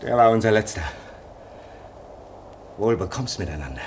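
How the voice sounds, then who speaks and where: An actor playing a bitter, hard-drinking, hot-tempered polar researcher in a radio play, sardonic and despairing. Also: male voice, lowish voice, animated, normal speed, a little mellow, slight background noise. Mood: grim despair.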